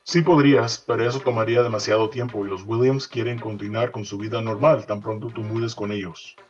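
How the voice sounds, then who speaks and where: A man's voice: lowish voice, steady tone, quick pace, lightly acted. A man playing a matter-of-fact bilingual interpreter in an audio story, on a dull recording. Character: brisk male interpreter relaying between Spanish and English. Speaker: voice actor reading a fiction podcast story. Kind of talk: dramatized short story reading. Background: music under the voice.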